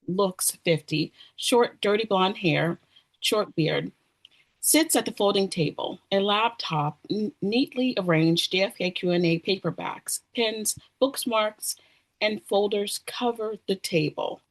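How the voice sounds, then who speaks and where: A woman reads out a screenplay's stage directions as narrator. Her pitch sits in the middle range, and she now and then trips on a word and repeats it. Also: slow pace. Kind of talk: screenplay table read narration.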